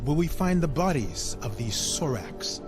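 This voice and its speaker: A man's voice: a little high, animated, measured pace. An actor playing a solemn, insistent churchman on a council in a fantasy video game, speaking formally. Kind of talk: video game cutscene acting. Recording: game music under the voice.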